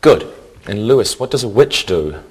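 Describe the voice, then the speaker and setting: A man teaching an English grammar lesson in a scripted, deliberate, instructional way, enunciating carefully. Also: on the low side, lively intonation, darkish tone, husky.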